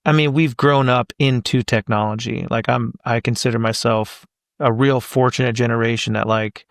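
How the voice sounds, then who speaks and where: A man's voice: medium pitch, steady tone, moderate pace, creaky, no room sound, clean studio sound. A man in his thirties, a voice actor guest on a podcast, talking casually and thinking aloud with plenty of fillers.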